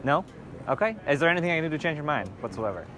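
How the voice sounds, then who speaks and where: Fast-talking nervous man, slightly high-pitched. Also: big pitch swings, sharp tone. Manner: hurried, nervous, polite. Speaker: vlogger posing as customer making a request. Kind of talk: polite conversational request.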